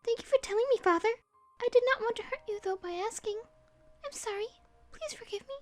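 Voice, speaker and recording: A timid young pony daughter in a fan audio story. Her voice is tiny and extremely high, soft and hesitant, with a kind, pleading manner. Faint music plays underneath. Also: a little fast. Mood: timid, apologetic.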